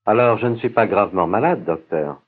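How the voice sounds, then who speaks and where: A man playing a polite patient with flu in a scripted language-course dialogue, speaking slowly and clearly, each line said twice. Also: lowish voice. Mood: tired. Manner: slow, careful, clear diction. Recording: tinny, narrow-band old course recording.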